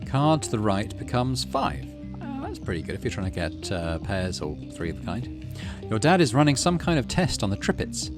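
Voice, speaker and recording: A man's voice: lowish voice, very animated, normal speed, music in the background. A man doing let's play commentary, reading story text aloud and muttering through his decisions as he thinks aloud. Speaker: game streamer, let's play host.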